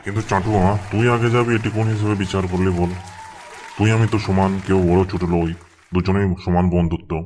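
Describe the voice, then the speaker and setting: A man voicing a gruff, grumbling but loyal village sidekick in a crude comedy cartoon, with a rural West Bengal accent. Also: low voice, steady tone, fast talker, darkish tone, a faint crowd, a little hiss or hum, lightly acted. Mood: challenging. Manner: blunt, foul-mouthed.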